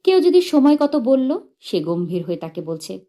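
High-pitched, animated woman storyteller. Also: normal speed. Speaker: audiobook narrator. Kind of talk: expressive narration of a humorous short story.

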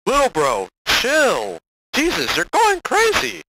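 A man acts out a young cartoon hedgehog host in a homemade audio drama. The voice is slow and gravelly with lively pitch, and the manner is casual and placating. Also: very noisy, exaggerated voice. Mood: calming. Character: level-headed young male cartoon hedgehog show host. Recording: loud music behind the voice.

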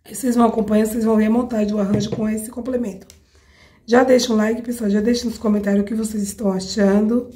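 A hoarse Brazilian woman with a cold hosts a craft tutorial. She chats with her viewers while she demonstrates each step, often checking that they follow. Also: on the high side, flat delivery, quick pace, mellow. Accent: Brazilian Portuguese. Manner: chatty, addresses viewers directly with frequent checks. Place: home craft table, indoors.

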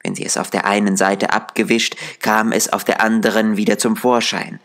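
A man narrating a dark fairy tale as a storyteller, in a measured, deliberate delivery. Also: lowish voice, level tone.

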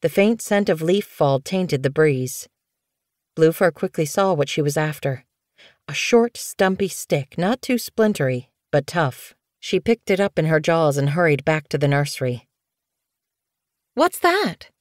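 Woman reading a novel, lowish voice, measured n expressive, really dry studio sound. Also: dry recording. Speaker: audiobook narrator. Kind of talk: scripted fiction narration.

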